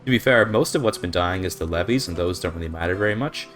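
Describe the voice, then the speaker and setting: A young man with a low, deep voice and a slightly scratchy throat, talking into a desk mic. He explains his game strategy in a relaxed, thinking-aloud way, with soft music playing faintly behind him. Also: fast. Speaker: speedrunner commentating gameplay. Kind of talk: casual gameplay commentary. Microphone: desk microphone.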